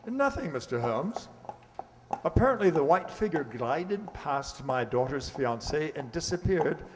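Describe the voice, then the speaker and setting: A man's voice: on the high side, big pitch swings, a little slow. A man playing a dignified, fussy middle-aged lord, formal and dramatic, in a radio play. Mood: fussy, assured. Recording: echoey hall reverb.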